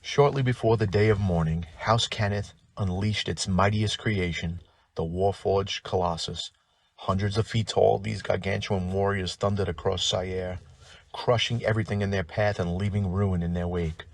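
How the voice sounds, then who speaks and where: A man's voice: sits low, steady tone, normal speed, gravelly. A man hosting a tabletop gaming lore show, reading scripted narration like a dramatic storyteller and slipping into wry, informal asides.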